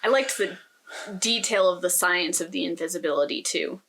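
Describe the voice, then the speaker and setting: A woman's voice: lowish voice, moderate pace, vocal fry, fairly bright tone. A woman co-hosting a podcast, casually talking through a book in a thoughtful, opinionated way. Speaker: podcast co-host.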